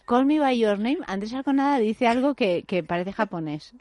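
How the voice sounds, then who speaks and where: A woman's voice: on the high side, lively intonation, normal speed, darkish tone, dry recording. A woman hosting a radio show, chatty, jokey and self-mocking, moving between casual banter and news items. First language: Spanish.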